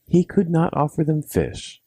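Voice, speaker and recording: A man's voice: on the high side, sing-song, unhurried, warm tone, slightly rough, dry recording. A man narrating a scripted bedtime story for children as its storyteller.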